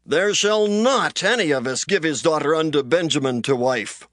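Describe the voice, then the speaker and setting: Man acting a high-voiced, grave Israelite elder. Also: normal speed, a bit bright, no echo, natural voice. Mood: solemn vow. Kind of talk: dramatized scripture acting.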